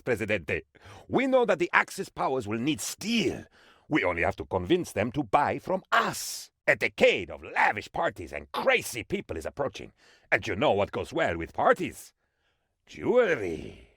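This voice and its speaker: An actor playing an excitable, sycophantic political aide to an island dictator in a comic video game, with a theatrical, exaggerated delivery. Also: male voice, mid-range voice, moderate pace, husky, no room sound, studio quality, heavily acted. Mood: scheming, eager. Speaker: adviser character briefing the player.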